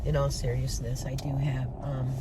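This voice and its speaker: A woman with a low voice talks casually to her viewers from behind the wheel, sharing her opinions in a chatty way. She sounds a little congested from a head cold. Loud car and road noise runs under her voice. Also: flat delivery, moderate pace. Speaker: vlogger. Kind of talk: casual chat while driving. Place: inside a car while driving. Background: road and traffic noise.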